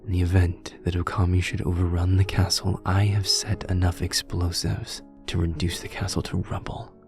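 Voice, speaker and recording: Man acting a very deep, grave warrior king. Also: level tone, darkish tone, soft background music. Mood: grave, resolved. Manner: solemn, deliberate. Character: grave, resolute male warrior king reading his testament. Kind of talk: dramatic scripted monologue.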